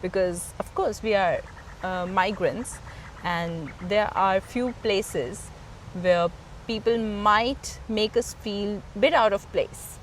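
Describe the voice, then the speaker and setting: A woman's voice: mid-range voice, slow, a little hiss or hum. A woman hosting a casual interview, encouraging her guest and agreeing often. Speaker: interviewer, host.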